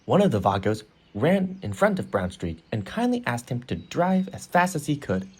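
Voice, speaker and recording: A man's voice: mid-range voice, big pitch swings, normal speed, a little hiss or hum. A man narrating a scripted children's story in storybook style. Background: music.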